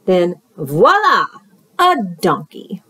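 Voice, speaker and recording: A woman voicing a sly, fast-talking male fox who pushes a sales pitch in a high, lively voice for a storybook reading. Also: slightly rough, dry recording, heavily acted. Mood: pushy, salesy. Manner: rapid huckster sales pitch.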